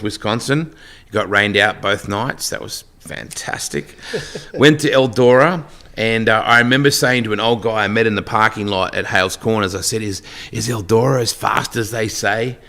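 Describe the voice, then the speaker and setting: Middle-aged Australian race announcer, lowish voice, bright and forceful delivery, chatty storyteller. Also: male voice. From Western Australia. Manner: chatty storyteller, joking asides. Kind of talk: casual podcast interview.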